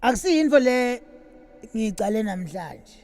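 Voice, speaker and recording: A man's voice: sits high, big pitch swings, moderate pace, darkish tone, dry recording. A man, a rapper, chatting casually and jokingly to his live stream viewers between songs, switching easily between English and Zulu.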